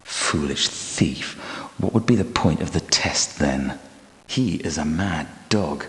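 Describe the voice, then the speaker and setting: An actor playing a proud, sorrowful, devout guardian knight in a video game, with grave delivery and formal, archaic diction. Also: male voice, deep voice. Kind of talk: dramatic video game character acting. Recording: long cavernous hall reverb.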